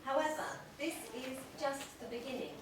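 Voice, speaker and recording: A woman giving a measured conference presentation, pausing often with small hesitations. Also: high-pitched. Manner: measured, steady delivery with frequent hesitations. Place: large conference hall. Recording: echoey, noisy hall from far off.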